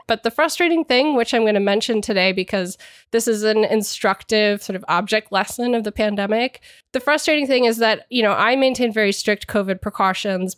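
A woman hosts a podcast, her voice a little stuffy from a cold. She explains things earnestly at a middling pitch, recorded close and completely dry. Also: dry recording. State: slightly congested from a cold. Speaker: podcast host. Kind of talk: scripted podcast intro and discussion.

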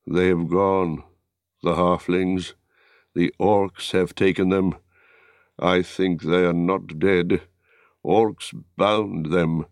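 A man reading aloud as a dying, remorseful warrior in a fantasy audiobook, speaking weakly in halting words. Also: deep voice, steady tone, no room sound, clean studio sound. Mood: weary, failing. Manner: halting, weak, slow words.

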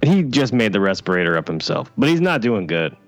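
A man playing the guest in improvised comedy banter, deadpan and matter-of-fact, congested with a cold. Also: on the low side, fairly quick, faint music behind.

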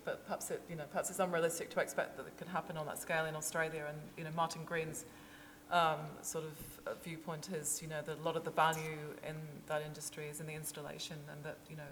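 A woman's voice: sits low, fairly bright tone. A woman author on a discussion panel, speaking hesitantly with many fillers. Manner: hesitant, many ums and restarts. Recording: long hall reverb, faint background noise.

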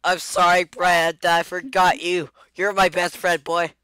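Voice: medium pitch, level tone, a little slow, sharp tone, smooth, no room sound. A playful, giggly podcast host chatting casually and teasing friends in a group voice call.

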